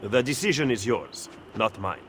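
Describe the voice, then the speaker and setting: An actor playing a measured, formal Italian conspirator and advisor with an Italian accent in a video game. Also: male voice, on the low side, expressive, a bit bright. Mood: measured, firm. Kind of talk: dramatic video game acting. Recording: echoey hall, faint ambient noise.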